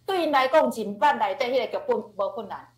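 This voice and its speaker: Higher-voiced Taiwanese woman teacher, echoey sound. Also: expressive, fairly quick. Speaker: teacher answering questions on radio drama. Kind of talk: informal online question-and-answer explanation. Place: online video class. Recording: echoey online class audio.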